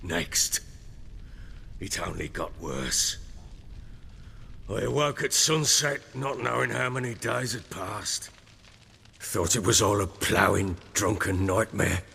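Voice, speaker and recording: An actor playing a grief-stricken, guilt-ridden lord in a video game, bitter and hot-tempered, with a coarse, dramatic delivery. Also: male voice, lowish voice, slow pace, vocal fry, pauses often, bright, forceful tone, in a large hall, slight background noise, heavily acted. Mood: haunted, guilty. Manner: anguished, dramatic, coarse-mouthed.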